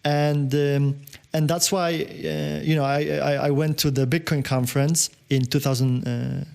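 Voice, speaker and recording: Halting man. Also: on the high side, little pitch movement, vocal fry, a little mellow, clear, resonant tone. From Poland. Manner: halting, many fillers and restarts. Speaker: podcast guest, business owner. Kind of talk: conversational interview answers.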